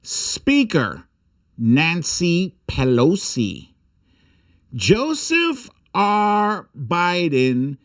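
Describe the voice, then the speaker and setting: A man hosting a comic political livestream, speaking with sarcastic, irreverent bite and theatrical, drawn-out emphasis. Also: sits high, huge pitch swings. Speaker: host of a political humour livestream. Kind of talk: comic political commentary and mock storytime reading.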